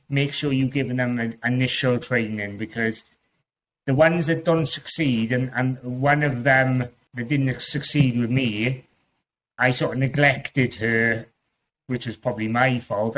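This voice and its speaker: A man's voice: medium pitch, a bit bright, poor audio. Chatty Welsh man with a strong accent. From Wales. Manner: chatty, rambling, with frequent restarts and laughs. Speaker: guest Amazon seller. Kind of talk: casual podcast interview.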